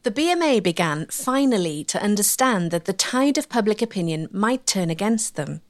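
A woman narrates a history documentary in a measured storytelling manner. Her voice sits in a middle range. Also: mid-range voice, very animated.

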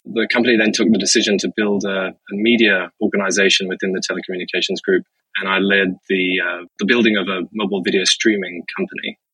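A man from Zimbabwe, a business executive answering interview questions in a measured, explanatory way with frequent fillers. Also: deep voice, level tone, bright, forceful tone, husky, dry recording, dull audio.

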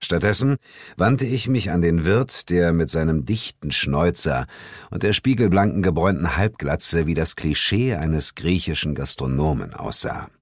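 A man's voice: low voice, animated, normal speed, no echo, clean studio sound. A man narrating a horror audiobook in the first person with a dramatic, scripted delivery.

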